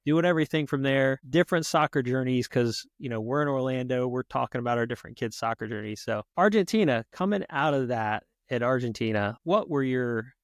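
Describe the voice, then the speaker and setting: A man's voice: medium pitch, lively intonation, fairly quick, few pauses, no room sound, clean studio sound. A man from the United States hosting a podcast, chatting in a casual way and asking long, rambling questions full of fillers. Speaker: podcast host. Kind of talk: casual interview.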